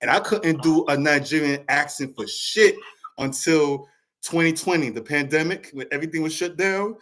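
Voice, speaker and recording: Male host and stand-up comic, slightly high voice, animated casual chat. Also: normal speed. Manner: animated and chatty, laughs easily.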